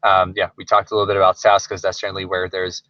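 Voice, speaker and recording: A man's voice: low-pitched, monotone, fast talker, pushed and bright, no echo. A man explaining things in a webinar presentation, informal and hesitant, often leaning on filler words as he works through his points. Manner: informal, hesitant phrasing with frequent "like". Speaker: software company presenter. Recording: slightly dull lo-fi webinar audio.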